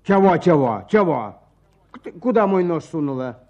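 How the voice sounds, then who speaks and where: A man's voice: fairly high pitch, very animated, normal speed, darkish tone. An actor playing a stubborn, grumbling village fisherman in a radio drama, blustery and repeating his words. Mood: irritated. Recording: dull muffled radio play recording.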